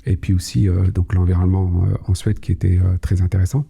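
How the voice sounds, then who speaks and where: A middle-aged man, native Kabyle speaker, telling his story in an interview, slow and measured with many hesitations. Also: low voice, level tone, vocal fry, warm tone, breathy edge. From Algeria, Kabylie. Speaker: guest, cybersecurity head. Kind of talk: podcast interview.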